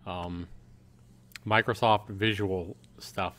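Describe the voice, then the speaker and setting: A man's voice: fairly low pitch, fairly even intonation, takes it slowly, a bit bright. A man chatting casually to viewers as the host of a gaming livestream.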